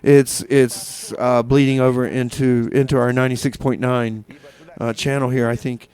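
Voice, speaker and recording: Halting, tongue-in-cheek male radio DJ. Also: mid-range voice, little pitch movement, darkish tone, clean tone. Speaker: radio show host and DJ. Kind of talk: casual rambling radio DJ patter.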